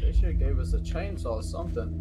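A man's voice: mid-range voice, animated, quick pace, over music, lightly acted. Man playing a nervous, stammering rookie lumberjack. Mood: uneasy. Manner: hesitant, stammering, repeats words. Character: nervous, chatty novice lumberjack, easily spooked man. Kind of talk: improvised online game roleplay.

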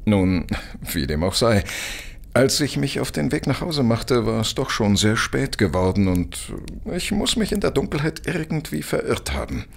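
An actor playing a polite, apologetic, long-winded gentleman in a German audio drama, hesitant and rambling. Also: medium pitch, very animated, normal speed, gravelly. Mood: sheepish, rueful. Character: talkative, tipsy-living, apologetic married gentleman, mildly sheepish.

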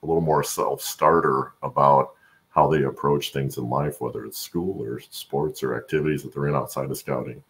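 A middle-aged man with an extremely low, gravelly voice. He speaks as an interview guest over a video call, in an earnest, halting, conversational way. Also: fairly even intonation. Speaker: guest, supply chain expert and voice-over announcer. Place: room at home, indoors.

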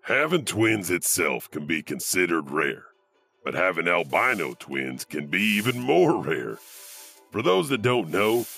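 Man playing a gravelly, sinister horror storyteller. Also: sits low, bright, forceful tone, exaggerated voice. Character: sinister male storyteller of urban legends. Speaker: horror anthology narrator. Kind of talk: scripted storytelling narration. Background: music.

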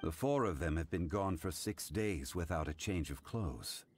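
A deep, formal scholar. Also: male voice, lively intonation, heavy background noise. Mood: calm. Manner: measured, formal, analytical. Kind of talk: video game voice acting.

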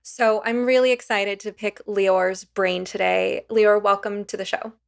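A woman's voice: mid-range voice, steady tone, a little slow, gravelly. Enthusiastic woman podcast host. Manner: enthusiastic, chatty. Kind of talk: interview conversation. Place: room at home. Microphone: headset with studio mic.

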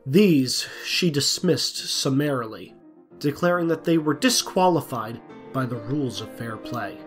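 A man's voice: mid-range voice, expressive, measured pace, soft background music. A man reading scripted storybook narration for an audio drama as its narrator.

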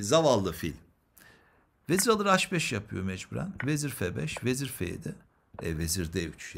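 Male chess instructor, mid-pitched with very lively intonation, analytical and explanatory, talking directly to viewers. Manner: explanatory, addresses viewers with rhetorical questions. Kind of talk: chess game analysis commentary.

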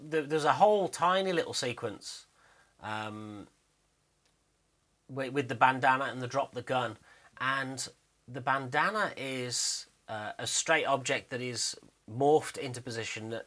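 Man, bright crisp-edged tone, mid pitch, casual behind-the-scenes narration, rambling, hesitant, self-deprecating. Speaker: animator and video narrator. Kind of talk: casual screen-recording walkthrough narration.

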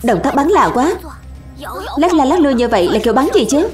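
A woman voicing excitable young spinning-top players in a dubbed cartoon, with a dramatic, exclamatory delivery. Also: sits high, brisk, over music. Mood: amazed. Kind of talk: animated cartoon dub, several characters under one speaker number.

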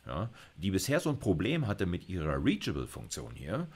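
A man's voice: deep voice, wildly swooping pitch, moderate pace, husky. A middle-aged man giving an informal computer science lecture, thinking aloud as he codes live. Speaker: university lecturer. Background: computer keyboard typing.

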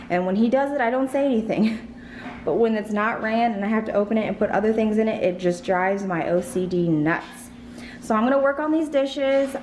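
A woman's voice: fairly high pitch, slight background noise. A young woman, chatting casually and rambling to her phone camera in her home kitchen while tired. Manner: chatty and rambling, many ums and likes. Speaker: vlogger. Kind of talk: casual vlog chat. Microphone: phone held close.

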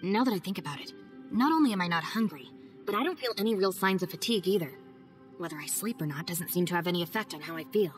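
A woman voicing a calm, practical young woman in a game, speaking in a level, measured way. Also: lowish voice, faint music behind, close to an everyday voice. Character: calm, practical young woman, loyal gang second-in-command. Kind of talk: video game cutscene voice acting.

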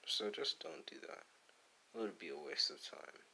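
A man playing a cool, menacing young anime villain in an ASMR roleplay, drawling and teasing in a deadpan, gravelly way. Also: medium pitch, normal speed, a little hiss or hum, heavily acted, low-quality recording. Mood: quietly menacing. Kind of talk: ASMR roleplay addressed to the listener.

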